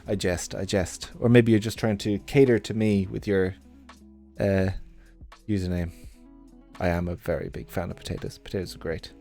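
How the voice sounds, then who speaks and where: A man's voice: low-pitched, fairly even intonation, moderate pace, creaky, warm tone, faint music behind. A man in his thirties with a mild Irish accent, chatting in a rambling, jokey way to his live-stream chat. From Ireland. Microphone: good desk mic at computer.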